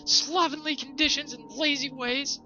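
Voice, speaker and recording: Shrill, nagging storybook wife. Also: male voice, steady tone, normal speed, bright, forceful tone, dull audio, exaggerated voice. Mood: harping, bossy. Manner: shrill, scolding, harping. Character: nagging, ill-tempered, contemptuous trapper's wife. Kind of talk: storybook character voice. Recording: music behind the voice.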